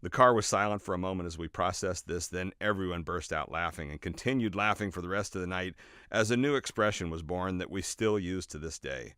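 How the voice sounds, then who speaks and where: A man reading his own nonfiction book as scripted narration, in a measured, expressive storytelling way with emphatic phrasing. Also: deep voice, clear, resonant tone, no echo, studio quality. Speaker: author reading own audiobook.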